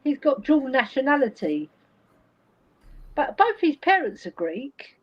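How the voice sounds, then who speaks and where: A woman's voice: high voice, big pitch swings, a little slow. A woman from England with an English accent, chatting casually and reacting as she watches a live football match. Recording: dull, lo-fi stream audio.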